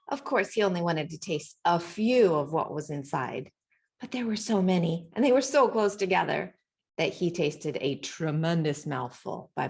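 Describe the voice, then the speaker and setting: Lady reading a kids picture book out loud, really lively up and down voice, bit of gravel in it. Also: lowish voice. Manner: animated, acts out sounds and dialogue. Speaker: storybook reader. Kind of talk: expressive children's picture-book read-aloud.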